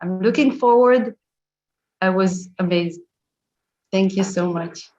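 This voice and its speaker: A middle-aged woman with an Israeli accent giving a halting testimonial on a video call. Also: medium pitch, a little mellow. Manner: halting, restarts phrases. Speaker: webinar participant. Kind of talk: prepared testimonial on a webinar. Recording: dull lo-fi video call audio.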